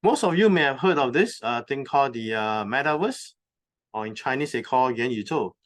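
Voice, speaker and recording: A man giving a conference presentation, explaining terms step by step and pausing often on filler sounds. He has just moved to Hong Kong from North America. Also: mid-range voice, lively intonation. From recently moved from North America. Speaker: conference speaker. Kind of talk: presentation talk. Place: conference venue.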